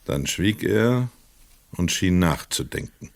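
An actor narrating a crime story in a radio play in a measured, precise manner. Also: male voice, low-pitched, animated, vocal fry, no room sound, full character voice. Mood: measured, quiet.

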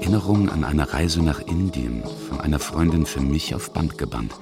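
A man's voice: extremely low pitch, animated, moderate pace, over loud music. A man reading scripted documentary narration as the narrator and interviewer of a feature.

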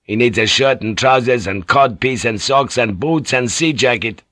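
A man reading an audiobook, voicing a crude, lewd middle-aged ship's boatswain with a loud, blustering manner. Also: medium pitch, normal speed, no echo, clean studio sound, lightly acted. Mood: gruff, blustering. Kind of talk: audiobook character voice.

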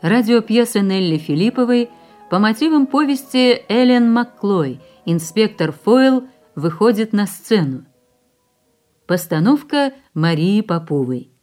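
A woman's voice: mid-range voice, very animated, darkish tone, soft background music. A woman announcing a radio play in a formal, declamatory manner. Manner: measured, declamatory.

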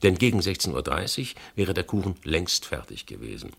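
An actor playing a shrewd, methodical police inspector in a German radio crime drama, reasoning insistently with a gravelly, husky voice. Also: male voice, deep voice, very animated, exaggerated voice. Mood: insistent, accusing. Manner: deliberate, insistent, reasoning point by point.

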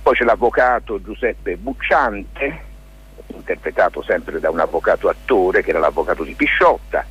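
A mature man, an interview guest, explains things informally and discursively, often hesitating, in a husky, rough voice. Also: low voice, expressive, moderate pace, fairly bright tone. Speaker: lawyer and theatre maker, interview guest.

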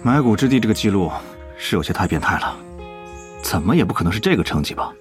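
An actor playing a serious, exasperated young online guild leader in an audio drama. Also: male voice, fairly low pitch, big pitch swings, fast, soft background music. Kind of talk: Chinese audio drama acting.

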